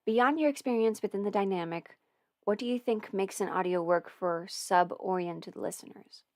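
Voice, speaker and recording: A woman's voice: medium pitch, normal speed, a little mellow, dry recording. Chatty woman with a dry close sound. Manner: chatty, rambling, laughs often, swears casually. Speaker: audio performer answering viewer questions. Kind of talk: casual livestream chat.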